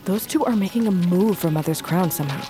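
Fierce low-voiced young huntress played by an actress. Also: female voice, fast, music in the background, close to an everyday voice. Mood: wary. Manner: archaic formal phrasing, intense. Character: fierce, embittered, defiant young huntress princess, the butcher girl. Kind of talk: cinematic fantasy audio drama acting.

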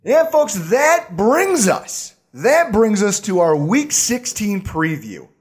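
A young adult man hosting a casual sports podcast, animated and sarcastic, a bit hoarse. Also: high voice, slow pace. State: slightly hoarse. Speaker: podcast host.

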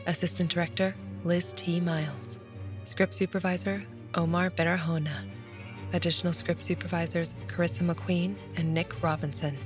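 A woman reading scripted credits as an announcer, in a measured, formal way. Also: fairly low pitch. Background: music.

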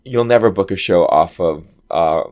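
A man with a low voice, an actor telling stories in a casual interview and acting out quoted lines. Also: normal speed. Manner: animated, chatty, acts out quoted dialogue. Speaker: Broadway actor guest.

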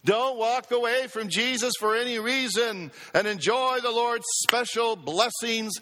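A man's voice: extremely high pitch, unhurried, bright, forceful tone. A male pastor preaching a sermon, emphatic, calling out to the congregation for responses. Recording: long hall reverb.

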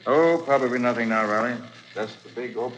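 An actor playing a calm, assured male detective who speaks in a measured, authoritative way in a 1940s radio drama. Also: mid-range voice, close to an everyday voice. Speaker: private detective. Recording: dull 1940s radio recording, faint hiss.

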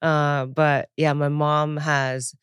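A woman's voice: sits low, flat delivery, slow, noisy background. A woman from Taiwan and Japan, a comedian guest chatting casually in an interview, laughing often and trailing off into fillers.

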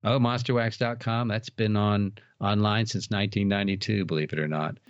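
A man speaking as a podcast guest in a casual, folksy, somewhat halting way, slightly dull recording. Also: on the low side, steady tone, clean tone, no room sound. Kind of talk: casual interview chat.

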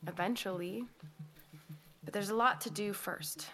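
A woman's voice: mid-range voice, unhurried, fairly bright tone, slight background noise, close to an everyday voice. An actress playing an ambitious small-town American teenage girl at boarding school in an audio drama. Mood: hesitant, self-effacing. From United States. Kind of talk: audio drama acting with first-person narration.

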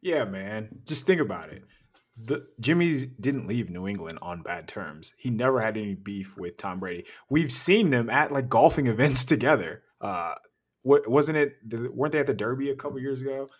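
A man's voice: medium pitch, animated, moderate pace, slightly rough. Laid-back man co-hosting a podcast. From Arizona. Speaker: podcast co-host. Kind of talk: casual sports podcast chat.

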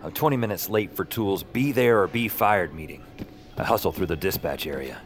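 A man's voice: low voice, animated, fairly quick. An actor playing an anxious night-shift dispatcher, narrating his misadventures in dry, clipped sentences. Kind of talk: first-person noir-comic audio drama narration. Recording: music under the voice.

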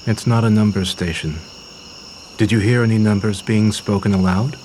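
Male actor as aloof spy boss, low voice, curt, enigmatic, audio drama, faint night crickets. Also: fairly even intonation, moderate pace, a little mellow, close to an everyday voice. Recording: night outdoors ambience, crickets, faint noise.

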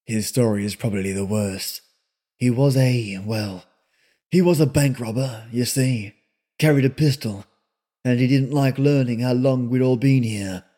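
A man reading aloud and voicing a sullen, weary young drifter who speaks in a low, rustic way. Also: a little slow, warm tone, natural voice. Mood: weary, somber. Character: sullen young male drifter, weary beyond his years.

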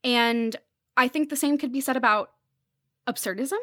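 Higher-voiced woman, earnest and thoughtful. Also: normal speed, fairly bright tone, no echo, clean studio sound. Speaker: podcast guest, journalist. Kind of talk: thoughtful conversational commentary.

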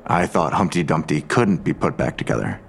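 An actor playing a sardonic, probing investigator with a dry, amused manner in an audio drama. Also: male voice, a bass voice, big pitch swings, fairly quick, gravelly, a little hiss or hum, heavily acted. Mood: dry curiosity. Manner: dry, sarcastic.

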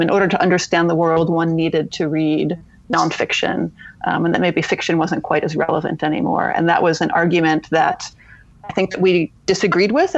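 A woman guest on a podcast, answering from a remote location in a measured, reflective way. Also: fairly low pitch, gravelly, lo-fi sound. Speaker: guest editor. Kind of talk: podcast interview.

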